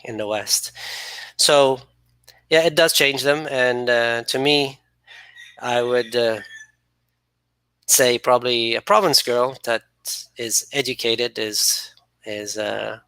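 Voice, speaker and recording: A middle-aged man who grew up speaking Arabic hosts a casual livestream and talks in a rambling, easygoing way, stopping to read comments. His voice is bright and punchy. The sound is dry, with no room in it. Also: mid-range voice, dry recording, rough recording. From grew up speaking Arabic and English, then England and Norway. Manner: relaxed, rambling, teasing with frequent laughs. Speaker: channel host reading live chat.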